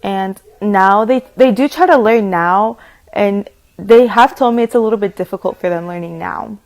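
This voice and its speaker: Young woman, student testimony, plain and steady delivery, mid-pitched voice. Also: normal speed. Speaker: student interviewee. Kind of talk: personal testimony.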